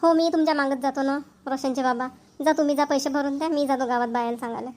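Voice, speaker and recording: A woman's voice: high-pitched, steady tone, quick pace, a little mellow, a little hiss or hum, close to an everyday voice. A woman voicing a busy, dutiful middle-aged village mother in a cartoon comedy, chatty and insistent, with a Varhadi Marathi accent.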